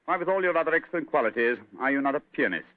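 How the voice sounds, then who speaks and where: An actor playing a brilliant, sharp male English detective, crisp and commanding in 1940s radio acting style, on a tinny old radio broadcast. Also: a little high, lively intonation, rapid-fire, no room sound, lightly acted. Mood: brisk.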